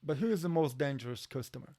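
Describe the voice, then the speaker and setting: A middle-aged man with a Polish accent speaks English as a second language, chatting casually as a podcast guest with halting phrasing, repeated words and many fillers. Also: fairly high pitch, lively intonation, mellow. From Poland. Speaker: podcast guest, door security worker.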